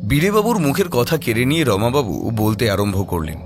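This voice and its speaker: A male narrator tells a scripted horror tale dramatically. His mid-range voice rises and falls widely, over clearly audible music. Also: mid-range voice, lively intonation, fairly quick.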